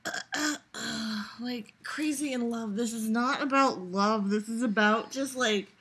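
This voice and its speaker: A woman's voice: fairly high pitch, unhurried, a bit bright, breathy edge. A woman vlogger giving a casual, exasperated, profane rant, full of fillers and restarts. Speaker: vlogger reviewing a trailer. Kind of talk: casual ranting reaction.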